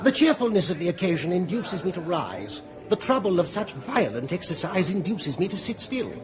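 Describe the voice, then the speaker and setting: An actor playing an idle, deliberating gentleman in a radio drama, reasoning in a dry, measured way. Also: high-pitched, big pitch swings, crowd noise, poor audio. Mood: languid. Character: languid, indolent gentleman weighing everything. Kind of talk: 1980s radio drama acting.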